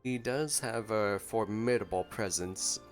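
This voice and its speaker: A man's voice: on the low side, unhurried. A man giving casual gameplay reaction commentary as a streamer, with dry, joking asides. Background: faint game music.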